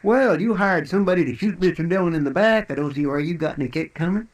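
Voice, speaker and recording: An actor playing a loyal, earnest frontier deputy in an old-time radio western, eager and folksy. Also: male voice, a little high, fast, lightly acted. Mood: righteous.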